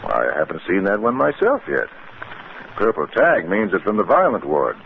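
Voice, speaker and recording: An actor playing a businesslike research doctor in a 1950s radio drama, explaining things matter-of-factly. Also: male voice, deep voice, huge pitch swings, moderate pace, creaky, full character voice. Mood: explanatory. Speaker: psychiatric doctor. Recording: narrow-band old radio recording, faint noise.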